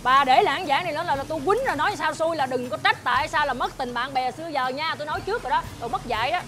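Actress as a fast, high-voiced, hot-tempered rich Southern Vietnamese mother. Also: music in the background, close to an everyday voice. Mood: angry, boastful. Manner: scolding, exclamatory, repetitive. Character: hot-tempered, boastful rich middle-aged mother, dramatic and touchy. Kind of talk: comedic Vietnamese family drama acting.

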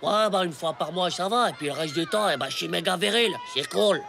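An actor playing a brash, boastful ten-year-old schoolboy in a French cartoon, with slangy, excitable delivery. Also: mid-range voice, brisk, creaky, over loud music, natural voice. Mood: swaggering.

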